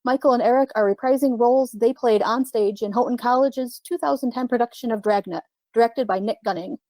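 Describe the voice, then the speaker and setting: A woman's voice: a little high, fairly quick, dark tone, no echo. A woman announcer reading a scripted introduction for a radio drama.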